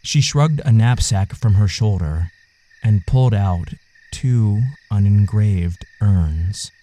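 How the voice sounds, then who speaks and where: A man narrating a literary audio story in a measured, solemn way. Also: fairly low pitch, warm tone.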